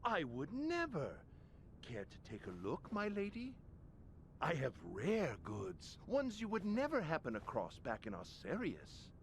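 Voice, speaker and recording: Slow, wildly swooping wheedling merchant. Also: male voice, a little high, huge pitch swings, a little hiss or hum, exaggerated voice. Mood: fawning, eager. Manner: wheedling, theatrical salesman delivery. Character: fawning, eager male castle merchant peddling dubious wares. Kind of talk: video game voice acting.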